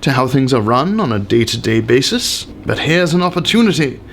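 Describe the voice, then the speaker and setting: A man's voice: mid-range voice, sing-song, brisk, a bit bright, slight background noise. A man giving a pompous, theatrical dramatic reading of an essay with exaggerated emphasis.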